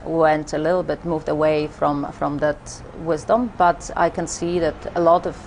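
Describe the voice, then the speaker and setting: A woman with a Polish accent and a low voice speaks as an interview guest. She talks haltingly, with frequent fillers and restarts. Faint noise sits under the voice. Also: fairly even intonation, gravelly, darkish tone. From Poland. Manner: hesitant, many fillers and restarts. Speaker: guest, spiritual teacher. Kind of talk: interview conversation.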